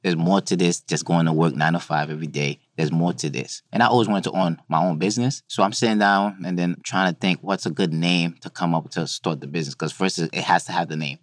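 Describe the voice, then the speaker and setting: A man's voice: low-pitched, fairly even intonation, brisk, few pauses, no echo, studio quality. A man from Haiti, a guest business owner, speaking in a plain, earnest way that repeats his points. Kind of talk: studio interview. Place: studio.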